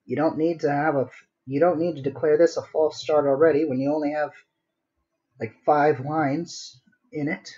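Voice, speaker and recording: A man's voice: on the high side, steady tone, moderate pace, warm tone, muffled recording. A man thinking aloud casually as he sketches on a live stream, muttering to himself with little sing-song filler noises. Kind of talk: casual thinking-aloud commentary while drawing.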